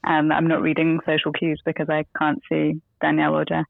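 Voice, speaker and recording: A woman's voice: low voice, completely flat delivery, a little fast, vocal fry, no echo. A woman joining a podcast by phone, chatting casually and playfully. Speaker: podcast guest. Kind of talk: casual chat. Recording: dry tinny phone line.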